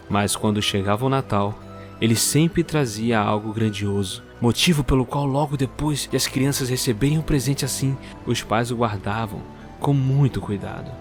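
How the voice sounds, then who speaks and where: A man narrating a scripted storybook tale for an audio drama in a measured storyteller's manner. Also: medium pitch.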